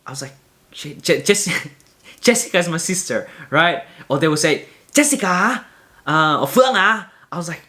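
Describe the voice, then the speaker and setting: A young man with a high-pitched voice talking casually and candidly to camera in a vlog, rambling with many fillers and restarts. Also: expressive, moderate pace, pushed and bright. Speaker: vlogger.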